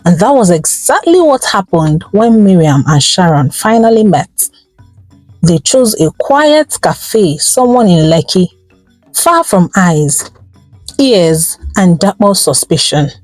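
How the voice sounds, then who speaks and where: Highly expressive Nigerian woman storyteller. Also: faint music behind, poor audio. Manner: theatrical, expressive, with drawn-out words and exclamations. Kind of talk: dramatic folktale storytelling narration.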